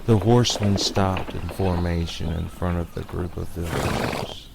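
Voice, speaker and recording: A man narrating a scripted spooky tale in a measured, dramatic storyteller's manner. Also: sits low, fairly even intonation, creaky, noisy background. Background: music.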